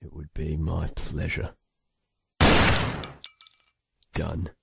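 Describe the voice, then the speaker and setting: A man playing a cold, gruff killer in a horror audio drama, with a gravelly, menacing voice. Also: very deep voice, monotone, measured pace, no room sound, clean studio sound, exaggerated voice.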